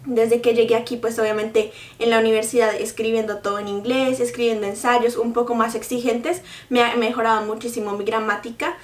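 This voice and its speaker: A woman's voice: fairly high pitch, fairly even intonation, fairly quick, few pauses, distant mic. Earnest woman, echoey room. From Colombia. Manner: earnest, explanatory, direct address to viewers. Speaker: vlogger. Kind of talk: casual talk to camera. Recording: roomy, mic set back from speaker.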